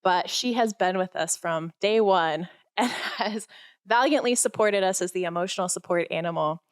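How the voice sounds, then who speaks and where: Giggly low-voiced woman podcast co-host. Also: moderate pace. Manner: chatty, laughs often, teasing. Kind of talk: casual chat. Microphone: podcast microphone.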